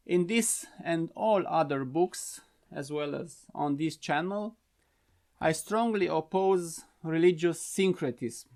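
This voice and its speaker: Romanian-accented man, gravelly earnest delivery. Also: on the high side. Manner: measured, earnest explanatory delivery. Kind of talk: prepared talk to camera. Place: simple home-office studio.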